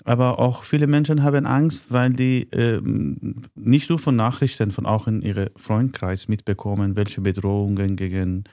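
A man speaking German as a second language, answering interview questions in a halting way with many pauses and fillers. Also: on the low side, steady tone, mellow, dry recording, studio quality. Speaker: interview guest. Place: studio, in a room with the host.

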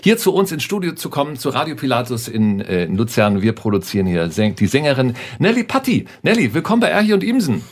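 A man with a husky, very lively voice co-hosts a radio show in the studio. He teases his partner and laughs often, asking the guest casual questions. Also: medium pitch, fast, hardly any pauses. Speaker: radio co-host.

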